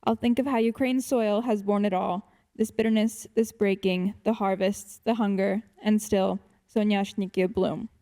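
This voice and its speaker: Hesitant teenage girl, soft warm voice. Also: mid-range voice, fairly even intonation, moderate pace. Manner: hesitant, many fillers and restarts. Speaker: student poet. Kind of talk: poetry reading and casual answers.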